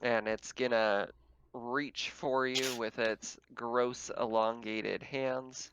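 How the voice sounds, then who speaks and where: A man's voice: medium pitch, little pitch movement, slow pace, fairly bright tone, a little hiss or hum. A man speaking casually as game master, narrating and refereeing a tabletop roleplaying game, swearing freely, on a slightly muffled microphone.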